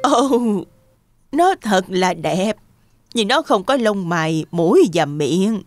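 A woman playing a kindly, excitable old grandmother in a children's cartoon, speaking expressively. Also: mid-range voice, slow. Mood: delighted. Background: soft children's music.

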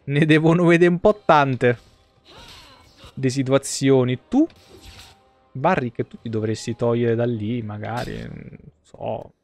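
A man's voice: medium pitch, takes it slowly, pauses often, a little mellow, soft background music. A man, giving casual, slangy live gameplay commentary as a streamer. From Italy. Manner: colloquial, slangy. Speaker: game streamer. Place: indoor streaming room.

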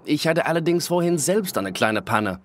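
A man as a lively, friendly Englishman. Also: on the high side, normal speed, slight background noise, lightly acted. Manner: polite, patient explaining. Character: friendly, good-humoured Englishman, old-car driver. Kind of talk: children's radio play acting.

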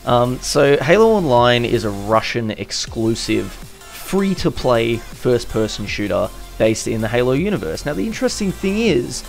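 A man's voice: medium pitch, gravelly. A young Australian man with an Australian accent, chatting casually as a gaming YouTuber narrating over gameplay, correcting himself with little laughs.

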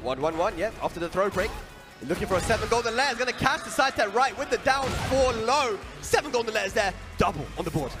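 A man giving technical play-by-play of a fighting-game match, calling out move inputs and combos, his voice hoarse. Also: high-pitched, lively intonation, fast talker. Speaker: esports commentator. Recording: game music behind.

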